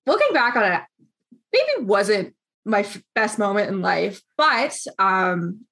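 A woman in her late twenties interviewing a guest over a video call, chatty and animated, acting out what others said. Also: medium pitch, slow pace, creaky, husky. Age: 20s.

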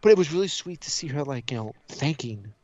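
A man chatting casually on a podcast, telling stories in an animated way, acting out dialogue and swearing freely. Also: mid-range voice, quick pace, highs cut off, background noise. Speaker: podcast co-host. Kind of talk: casual chat, animated storytelling.

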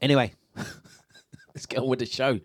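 A man's voice: mid-range voice, little pitch movement, moderate pace, a little hiss or hum. A man hosting a live radio show in a studio, talking in a chatty, laid-back, slangy way. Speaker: radio DJ host. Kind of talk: casual live radio chat. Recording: music playing under the voice.

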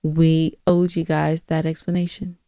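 A woman's voice: low-pitched, level tone, a little slow, warm tone, clean tone, dry recording. Teasing woman podcaster, dry close sound. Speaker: podcast co-host. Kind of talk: casual banter with a co-host.

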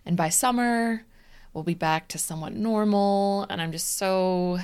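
A woman's voice: medium pitch, a little slow, a little mellow, smooth. A young woman co-hosting a podcast, telling a story in casual chat and acting out her own texts. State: recovering from vaccine side effects. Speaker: podcast co-host.

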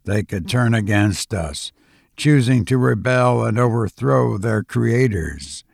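An intense male witness performed by a man narrating an audiobook warns of catastrophe with grave, alarmist rhetoric. His voice is gravelly and slow, in a dry recording. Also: medium pitch, lively intonation, no echo, full character voice. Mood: grave warning.

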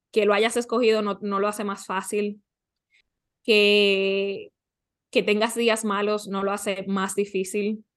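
A woman coach talks as a guest on a podcast at a medium pitch. She gives advice conversationally, often posing questions and answering them with examples.

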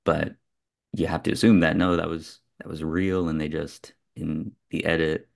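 A man's voice: a bass voice, little pitch movement, normal speed, vocal fry. A man hosting a podcast, talking through films in a casual, reflective and discursive way among friends. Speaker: podcast host.

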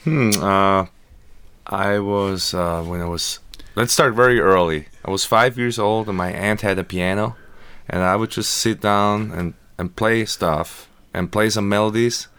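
Male musician, middle-aged, low resonant gravelly voice, relaxed and friendly. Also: a little slow. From Austria. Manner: relaxed, laughs easily, friendly. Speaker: musician guest. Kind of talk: casual interview. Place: radio studio.

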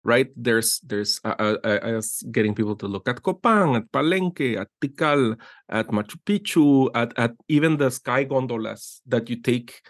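A man's voice: medium pitch, expressive, normal speed, no room sound, studio quality. A middle-aged man from Guatemala, an art director being interviewed, talking eagerly with many restarts and "right?" tags. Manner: enthusiastic, rambling with frequent restarts and "right?" tags. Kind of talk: casual interview conversation.

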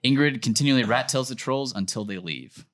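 A woman with a very low voice reads stage directions at a casual comedy table read. Her pitch moves around in a lively way. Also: fairly quick.

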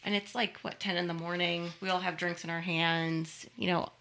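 A woman's voice: on the low side, little pitch movement, normal speed, vocal fry, sharp tone. A woman producing a podcast, telling a story in a chatty, casual way and laughing easily.

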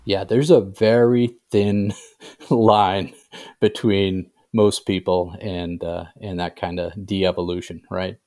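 A man's voice: deep voice, fairly even intonation, slow, a little mellow. An older man being interviewed as an author, speaking conversationally and often checking in with the listener. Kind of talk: casual interview chat.

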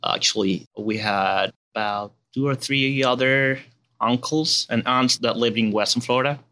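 A man in his late twenties with a Spanish and Venezuelan accent, a relaxed guest chatting casually outdoors. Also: mid-range voice, measured pace. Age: 20s. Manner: laid-back, relaxed and chatty, calls people man.

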